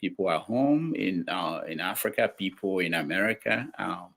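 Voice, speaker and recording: A man with a very deep, low voice hosts a talk show from a studio. He talks in a halting way, full of ums and restarts as he frames his questions. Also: lively intonation, gravelly, a bit bright. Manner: hesitant, many fillers and restarts. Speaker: show host. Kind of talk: casual talk show hosting.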